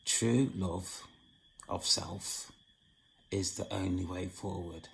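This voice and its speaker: A man with a deep, heavily gravelly voice that has gone hoarse. He talks slowly in a flat, solemn tone, with long halting pauses between phrases, like a host working through a spiritual talk. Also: rough recording. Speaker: channel host. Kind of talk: spiritual monologue.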